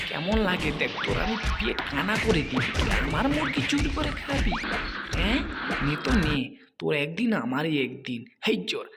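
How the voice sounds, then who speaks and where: An actor voicing a hot-tempered, bullying male tiger in a cartoon animal story, ranting in blustering, repeated threats. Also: medium pitch. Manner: blustering, repetitive threatening tirades. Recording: long hall-like reverb on the voice.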